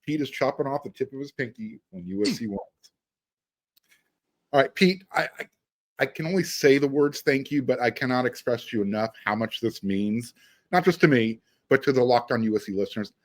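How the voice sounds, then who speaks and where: A man's voice: medium pitch, lively intonation, a little fast. An American man hosting a sports podcast, chatting casually and emphatically, repeating phrases for stress. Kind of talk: casual sports interview chat.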